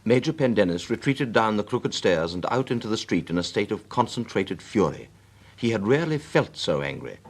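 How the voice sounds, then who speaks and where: A man's voice: lowish voice, big pitch swings, moderate pace, slightly rough. A man reading scripted literary narration for a radio drama.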